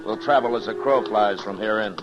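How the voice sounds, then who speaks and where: Old radio western marshal guy acting, low voice, terse n bossy, muffled recording w lots of hiss. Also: a little fast, lightly acted. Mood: steady. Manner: terse, authoritative. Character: stern, steady frontier marshal of the Old West. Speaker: actor in a western radio drama. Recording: dull, noisy 1950s radio recording.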